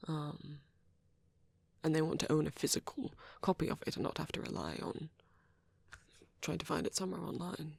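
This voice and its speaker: Woman acting as shy young male vampire, low gravelly murmur, hesitant stammer, ASMR roleplay, close dry sound. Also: moderate pace, pauses often, no echo, exaggerated voice. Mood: shy, explaining. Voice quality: soft, husky, breathy. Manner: hesitant, stammering, self-doubting. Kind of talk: ASMR boyfriend roleplay, improvised rambling.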